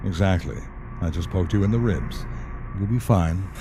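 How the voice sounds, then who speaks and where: A man acting a calm, solemn afterlife agent in an audio play, measured, gentle and matter-of-fact. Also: sits low, slight background noise, close to an everyday voice.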